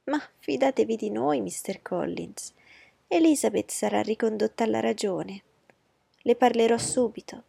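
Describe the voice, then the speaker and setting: A woman voicing an agitated middle-aged mother who talks high and fast in alarm. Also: vocal fry, mellow, husky, no room sound, full character voice. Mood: insistent. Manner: high-pitched, agitated, pleading.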